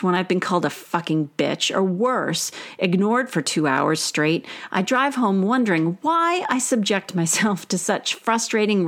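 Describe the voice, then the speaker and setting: A woman reading a personal essay aloud in measured, deliberate literary phrasing. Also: mid-range voice. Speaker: author and teacher.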